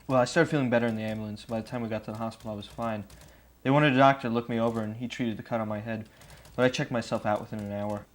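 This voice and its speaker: A man's voice: on the low side, fairly even intonation, rapid-fire, lightly acted. A man playing an earnest, apologetic young man explaining himself in conversational testimony. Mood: plain.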